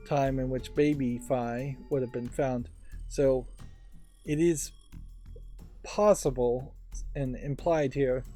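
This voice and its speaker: Mellow-voiced man, halting, game music behind. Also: mid-range voice. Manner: halting, thinking aloud. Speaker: let's play host. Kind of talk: casual gameplay commentary with reading aloud.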